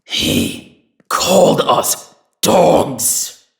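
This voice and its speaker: A man's voice: a little high, expressive, very slow, creaky, full character voice. A man voicing a fierce, resentful inner wolf in a fantasy audio drama, accusing and proud, in an echoing space. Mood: bitter, accusing. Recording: echoing, reverberant voice effect.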